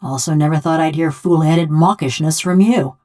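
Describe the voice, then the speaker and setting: A woman's voice: low-pitched, moderate pace, mellow, close to an everyday voice. An actress playing a wry, blunt, vengeful woman killer whose soul lives in a bronze body, speaking in a folksy rustic drawl for a video game. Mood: unrepentant. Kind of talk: video game character dialogue.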